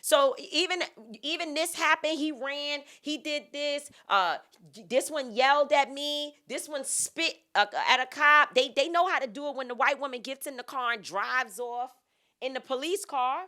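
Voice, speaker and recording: A woman's voice: sits high, moderate pace, bright, forceful tone. A woman co-hosting a discussion show, making her points emphatically and repeating phrases for stress. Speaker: podcast co-host. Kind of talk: impassioned discussion.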